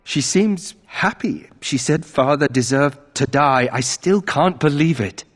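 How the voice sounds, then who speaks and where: Brooding, husky young nobleman. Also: fairly high pitch, lively intonation, unhurried. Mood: troubled. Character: brooding young nobleman troubled by his family's disgrace. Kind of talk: video game dialogue.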